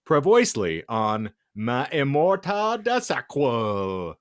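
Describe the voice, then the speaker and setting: A man reads bad fiction aloud as a comedy host. His delivery is theatrical and mock-grave, taking its time and leaping all over in pitch. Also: very animated, takes it slowly, dry recording, clean studio sound. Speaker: podcast host reading fan fiction aloud. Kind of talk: comedic dramatic reading of garbled fan fiction.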